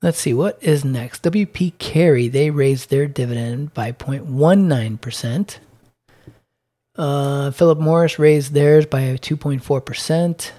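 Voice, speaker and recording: A slightly hoarse man giving a casual recap of his dividend portfolio, halting with many ums and restarts as he reads out figures. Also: on the high side, mellow. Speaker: dividend investing vlogger.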